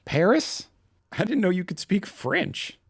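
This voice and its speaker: An actor playing a loyal recovering addict in an audio drama, understated and full of incredulous questions. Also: male voice, sits high, very animated, unhurried, slightly rough, no room sound, studio quality, full character voice. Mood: questioning. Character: wry, sceptical recovering addict, loyal friend.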